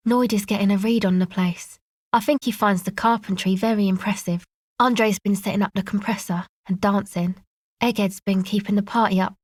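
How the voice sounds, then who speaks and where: A woman's voice: mid-range voice, steady tone, normal speed, dry recording, lightly acted. An actress playing a guarded, laid-back young woman who leads a streetwise crew in a video game, speaking casually with dry understatement. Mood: dry, relaxed. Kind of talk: video game dialogue acting.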